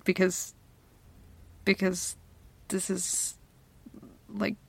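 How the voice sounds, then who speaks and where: A woman plays a flustered young woman with a crush on her best friend, in an intimate ASMR audio roleplay. She speaks slowly and hesitantly, stammering in a giggly way. Her voice is full of vocal fry and sounds very dry and close. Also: mid-range voice, flat delivery, no echo, full character voice. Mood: shy, flustered. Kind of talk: ASMR girlfriend roleplay, intimate one-sided dialogue.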